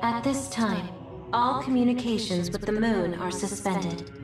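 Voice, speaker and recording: A woman voicing a serene archive terminal in a video game, speaking formally and with measured detachment. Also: medium pitch, natural voice. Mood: serene, detached. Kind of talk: scripted video game voice acting. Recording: echoing distant voice under loud music.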